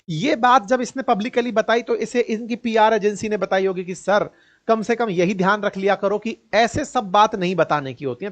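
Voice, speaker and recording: A man with an Indian accent and a notably high voice explains the news in a colloquial, sarcastic way. He speaks straight to the listener and often asks rhetorical questions. The recording sounds slightly dull and lo-fi. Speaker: news commentator and presenter. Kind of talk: informal news commentary, explainer.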